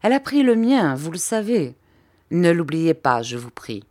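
A woman's voice: fairly low pitch, very animated, dark tone. A woman reading as a cold, controlling husband. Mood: polite menace. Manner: measured, courteous, firm.

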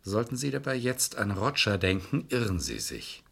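A slightly husky, deep-toned lawyer from a vintage crime radio drama. He is formal and calculating, and his melody moves widely as he insistently drives each point home. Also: very animated, exaggerated voice. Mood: firm, explaining. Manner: measured, pressing, formal. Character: insistent, calculating male lawyer pressing a witness. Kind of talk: vintage German crime radio play acting.

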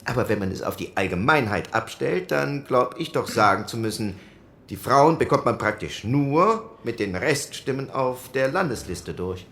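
A man's voice: medium pitch, lively intonation, moderate pace, a bit bright. An actor playing a middle-aged male parliamentarian who argues his points in committee debate.

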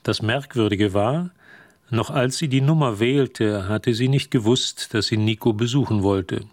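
An actor as a measured male storyteller. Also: mid-range voice, close to an everyday voice. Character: detached storyteller recounting a woman's story. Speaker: narrator. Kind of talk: scripted radio drama narration.

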